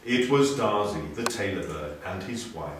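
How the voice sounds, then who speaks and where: A man reading a children's story aloud with theatrical expression and clear enunciation. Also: fairly low pitch, expressive, moderate pace, slight background noise. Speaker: storybook reader. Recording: very echoey room, distant mic.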